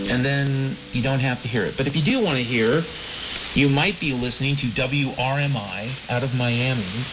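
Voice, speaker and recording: Keyboard music plays prominently behind a slightly dull, lo-fi recording of a man with an American accent. He hosts a late-night radio monologue in a laid-back, wry manner. He rambles, repeats his phrases and often turns to rhetorical questions. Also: medium pitch. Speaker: shortwave radio show host. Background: piano-like keyboard music.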